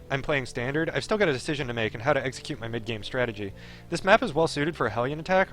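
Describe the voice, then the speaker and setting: A man's voice: mid-range voice, fairly bright tone. A man streaming a game, reading its narration aloud expressively and dropping into casual offhand commentary. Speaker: streamer playing a visual novel.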